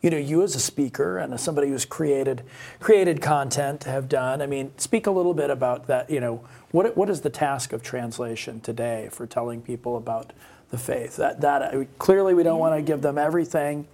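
A man co-hosting a podcast in an intellectual interview style, haltingly repeating words as he frames his questions. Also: medium pitch, gravelly, mellow. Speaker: podcast co-host.

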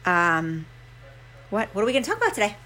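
A woman's voice: medium pitch, sing-song, quick pace, sharp tone. A chatty woman with a Rhode Island accent co-hosts a casual podcast. She laughs easily and pads her thoughts with fillers. A faint hiss sits under her voice. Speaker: podcast co-host. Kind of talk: casual chat.

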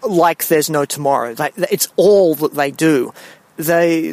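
A young man, raised in Singapore, giving a casual spoken monologue with a wry, halting manner, full of restarts and ums. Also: on the high side, dry recording.

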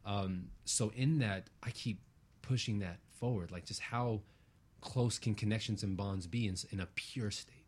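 A man's voice: on the low side, normal speed, husky. A man speaking as an interview guest in a reflective, earnest way, using abstract spiritual phrasing and often checking for agreement.

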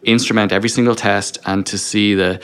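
A man's voice: fairly low pitch, steady tone, a little fast, fairly bright tone, clear, resonant tone. A man talking as a podcast guest in a conversational interview, explaining things by posing questions and voicing inner dialogue. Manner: explains through self-posed questions and quoted inner dialogue. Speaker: podcast guest, engineering leader.

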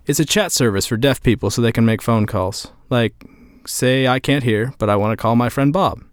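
A man's voice: mid-range voice, moderate pace, clean tone, dry recording, studio quality, close to an everyday voice. Man playing a wry, lonely ex-boyfriend. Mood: wry, casual. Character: wry lonely heartbroken ex-boyfriend telling his story. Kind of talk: first-person narration in an audio drama. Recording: music under the voice.

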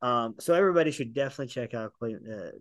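Man, podcast co-host, excited swearing movie talk, rambling, dry close sound. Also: medium pitch, racing, dry recording. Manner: enthusiastic, profane, rambling with restarts. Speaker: podcast host, film reviewer. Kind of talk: casual chat.

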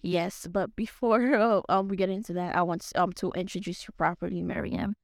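A woman's voice: on the low side, steady tone, moderate pace, dry recording, clean studio sound. A young woman with a French accent hosting a podcast, talking in a hesitant way with many fillers and restarts. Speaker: podcast host. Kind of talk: casual podcast chat.

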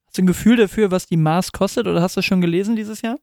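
A man's voice: high voice, racing, gravelly, warm tone, no room sound, studio quality. A man in his thirties co-hosting a casual podcast chat, joking and teasing in easy slang. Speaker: podcast co-host.

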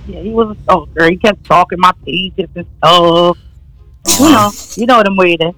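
A woman's voice: lowish voice, normal speed, fairly bright tone, soft background music, rough recording. A woman from New Jersey, a guest in a casual chat, talking in a brash, unfiltered way and laughing often.

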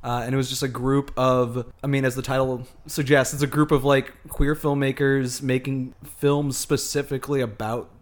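A man's voice: medium pitch, level tone, moderate pace, few pauses. A man hosting a casual, chatty movie podcast, recorded in a living room at home. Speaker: podcast host.

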